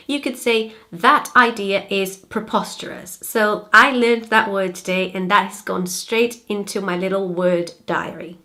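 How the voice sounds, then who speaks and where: A young woman from Brazil whose first language is Portuguese, speaking English with a British-leaning accent, giving clear, upbeat vocabulary tips in a home office. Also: medium pitch, fairly bright tone. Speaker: language-learning vlogger. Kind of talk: friendly tutorial vlog.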